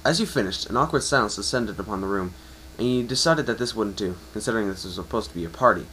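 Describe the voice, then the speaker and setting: A man's voice: on the low side, lively intonation, fast, bright, forceful tone, slight background noise, rough recording. A man reading fan fiction aloud as a dramatic second-person narration, now and then tripping over phrases. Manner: stumbles and rereads phrases.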